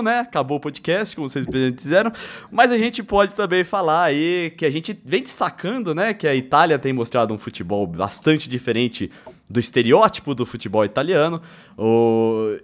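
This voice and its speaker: A Brazilian man hosting a casual football podcast in a jokey way, with some theatrical flair. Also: a little high. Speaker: podcast host.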